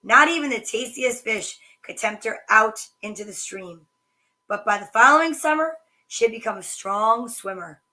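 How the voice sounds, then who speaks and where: Woman, storybook reader, bright voice, slightly high, sing-song delivery. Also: lively intonation, normal speed. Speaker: storytime host reading children's books. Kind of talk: reading picture books aloud with chatty asides.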